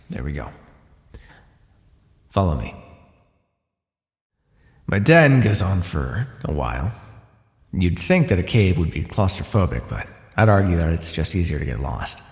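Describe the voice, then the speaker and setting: Guy doing a snake man naga for asmr fantasy roleplay, gravelly, condescending and teasing, kinda menacing, big cave echo. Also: deep voice, exaggerated voice. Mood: casual, dry. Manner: casual, condescending, dry teasing. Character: male naga predator, bored, teasing, menacing cave-dweller. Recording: echoey, long cave-like reverb.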